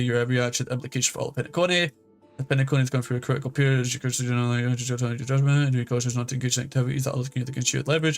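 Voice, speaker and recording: A man streaming a game with casual, rambling commentary and reading its text aloud in an informal way. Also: mid-range voice.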